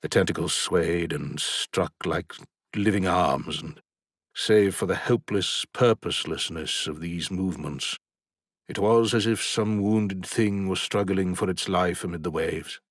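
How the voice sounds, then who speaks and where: Man, audiobook narrator, very low voice, breathy husky tone, dry close recording, dramatic intense reading. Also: measured pace, no echo. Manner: intense, rising to urgent peaks in action.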